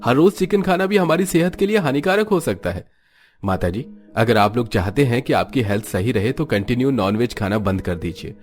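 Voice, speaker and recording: A man's voice: mid-range voice, lively intonation, fairly quick, soft background music, lightly acted. A man voicing a stern family doctor who speaks firmly and gives advice in a cartoon story. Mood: admonishing. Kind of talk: Hindi cartoon story dialogue.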